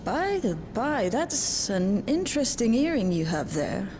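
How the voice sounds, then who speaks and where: An actress voicing a curious, matter-of-fact intelligence specialist in a video game, informative and conversational. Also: female voice, a little high, sing-song, measured pace, noisy background. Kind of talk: video game cutscene acting.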